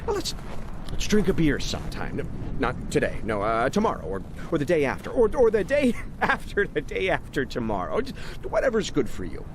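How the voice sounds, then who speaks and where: Talkative, fawning needler; highish, very animated delivery with stumbles, over noisy street ambience. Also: male voice, moderate pace. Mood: teasing, eager. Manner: rambling, stammering, repeats words, chuckles. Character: chatty, fawning man needling a corrupt ex-official. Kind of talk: dramatic game cutscene monologue.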